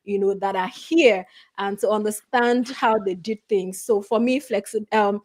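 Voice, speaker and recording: A middle-aged woman from Nigeria talks through her career story at a steady mid pitch. She is earnest and conversational, leaning on "you know" between phrases. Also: a little slow. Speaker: guest speaker. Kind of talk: conversational panel talk.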